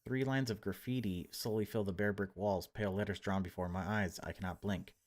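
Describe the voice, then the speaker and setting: A man reading a horror visual novel aloud as a let's-play narrator, in a theatrical, hushed storytelling style. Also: fairly low pitch, steady tone, brisk.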